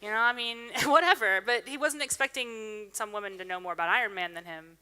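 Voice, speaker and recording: Brief: woman conference speaker, bright crisp voice, animated off-the-cuff Q&A, heard in a big echoing hall. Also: fairly high pitch, brisk. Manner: animated, chatty, many restarts. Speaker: conference speaker taking questions. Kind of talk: casual Q&A after a talk. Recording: reverberant hall sound.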